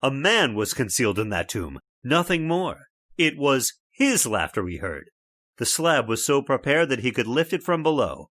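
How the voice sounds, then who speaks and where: A man's voice: mid-range voice, big pitch swings, normal speed, fairly bright tone, no echo, studio quality. An actor playing a stubborn, skeptical middle-aged father in a radio horror drama, scornful and dismissive. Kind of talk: old-time radio drama acting.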